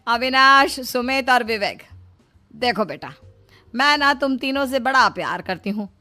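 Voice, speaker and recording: A woman's voice: on the high side, lively intonation, a little slow, sharp tone, pitched above the performer's own voice. A woman voicing a shrewd middle-aged mother-in-law in a story, coaxing, motherly and firm. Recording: music behind the voice.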